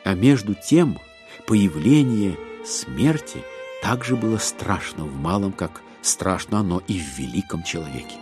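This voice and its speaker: A male narrator reads classic literature in a dramatic, scripted style. His lowish voice is lively and varied, with ironic, deliberate phrasing over soft music.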